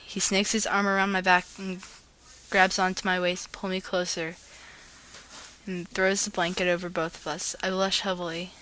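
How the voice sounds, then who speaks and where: Bright-voiced woman reading fan fiction casually. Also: fairly low pitch, little pitch movement, normal speed, slight background noise, poor audio. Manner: informal, giggly, stumbles over words while reading. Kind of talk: casual vlog chat and amateur story read-aloud.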